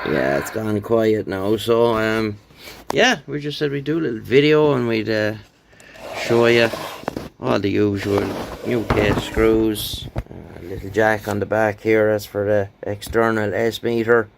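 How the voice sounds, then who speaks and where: A man's voice: lowish voice, a little slow, creaky, slight background noise. A man giving a casual, rambling, understated hands-on show-and-tell as a hobbyist, with some hesitation. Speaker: radio hobbyist presenting a rebuilt CB radio.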